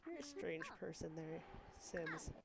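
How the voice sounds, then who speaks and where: A woman's voice: sits low, very animated, a little slow, gravelly, slight background noise. A woman with a cold, a bit stuffed up and wheezy, chatting casually as she plays a game and apologizes often. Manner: chatty, self-deprecating, apologetic asides. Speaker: gaming video host. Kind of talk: casual rambling gameplay commentary.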